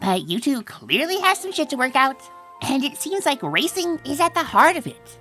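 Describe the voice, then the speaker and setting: A woman's voice: sits high, big pitch swings, normal speed, pushed and bright, faint music behind, full character voice. A woman voicing a brash, loyal, fiery female pony in a comic dub. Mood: sheepish. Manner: brash, emphatic. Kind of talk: comic dub character acting.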